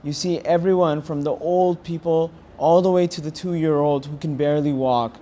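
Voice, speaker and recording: A young man with an American accent giving a personal testimony in an earnest, halting way with pauses. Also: fairly high pitch, steady tone, mellow, a little hiss or hum. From California, United States. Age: young adult. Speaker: university student interviewed as a pilgrim.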